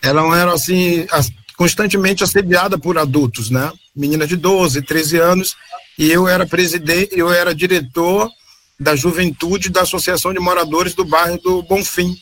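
A man's voice: high voice, steady tone, moderate pace, a bit bright. A middle-aged man from Salvador, telling his story as a guest on a video call from home, rambling and tagging sentences with "né?". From Salvador, Bahia, Brazil. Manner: rambling, frequent "né?" tags and self-corrections. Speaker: interview guest. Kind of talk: casual storytelling interview. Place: home room.

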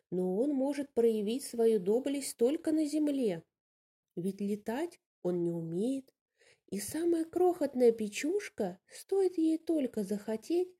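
A woman's voice: sits high, dark tone, slightly rough, heavily acted. A woman voicing a shrewd female bird in a fairy tale, making an assertive case to the flock. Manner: assertive, reasoning speech to a gathering. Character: shrewd female bird urging fellow birds on.

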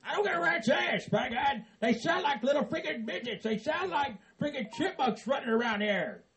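A man playing a cranky, foul-mouthed old hillbilly who yells and laughs as he rants. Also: very high-pitched, animated, moderate pace, a little hiss or hum. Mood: ranting. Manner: foul-mouthed, yelling, laughing while ranting.

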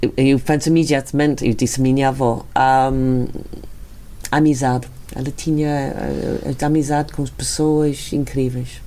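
A middle-aged woman with a foreign accent, a non-native speaker, answering in a casual interview, haltingly searching for words. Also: a bass voice, flat delivery, dark tone. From spent early childhood in Beirut. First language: not Portuguese. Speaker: interview guest, wine estate owner.

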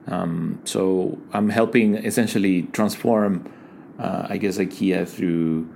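Low-voiced man. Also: steady tone, gravelly, darkish tone, a little hiss or hum. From Ecuador. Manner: thoughtful, halting, many fillers and restarts. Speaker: guest, digital design leader. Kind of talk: casual interview conversation.

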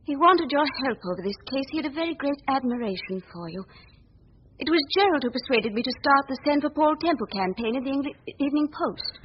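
An actress playing an earnest, anxious young woman journalist in a 1940s radio drama, speaking gravely and dramatically. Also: high-pitched, expressive, fairly quick. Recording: muffled old 1940s radio recording.

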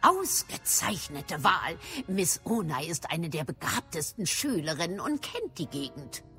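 A shrewd, middle-aged professor of magic in an audio drama. Her voice sits low and moves expressively, with a formal, probing manner and music playing behind her. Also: very animated, a little slow, bright, forceful tone, exaggerated voice. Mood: brisk, instructive. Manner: formal, crisp, mildly probing. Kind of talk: German audio drama acting.

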